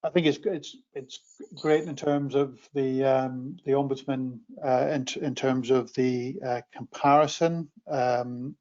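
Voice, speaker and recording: Man, mid pitch, monotone, hesitant with fillers, muffled call audio. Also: unhurried. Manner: hesitant, many ums and restarts. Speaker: council officer. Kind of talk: remote council meeting reply. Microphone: video call. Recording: dull lo-fi remote call audio.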